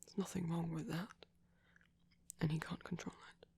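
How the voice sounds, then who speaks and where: Breathy whispering vampire boyfriend, voiced by a man. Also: on the high side, level tone, moderate pace, a little mellow. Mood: soft, reassuring. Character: gentle, caring male vampire boyfriend, softly self-conscious. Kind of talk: whispered ASMR roleplay.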